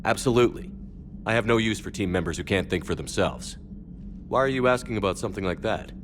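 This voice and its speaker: An actor playing a direct, supportive military commander in a video game, firm and questioning. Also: male voice, lowish voice, quick pace, background noise, lightly acted. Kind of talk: video game dialogue acting. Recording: clear vehicle ambience behind voice.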